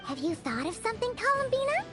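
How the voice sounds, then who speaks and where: Casting: a small childlike fairy companion for a game, squeaky and very high, animated, inquisitive. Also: female voice, fast, loud music behind the voice. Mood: curious, eager. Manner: exclaiming, eager questioning. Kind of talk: video game cutscene voice acting.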